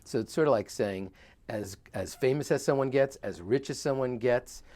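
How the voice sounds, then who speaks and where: A man who is a guest author, talking in an earnest, rambling way with many pauses and fillers. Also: mid-range voice, a little mellow. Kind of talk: relaxed interview talk.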